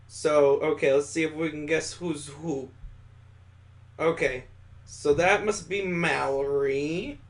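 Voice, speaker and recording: Higher-voiced man, sarcastic game narrator. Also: fairly even intonation, slow. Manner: sarcastic, wisecracking. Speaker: let's play host reading a visual novel aloud. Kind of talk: story narration with joking commentary.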